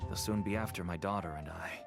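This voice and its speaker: A man's voice: low voice, fast talker, creaky, over music. A man playing a worried, wary father and reluctant informant in a video game. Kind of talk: video game character acting.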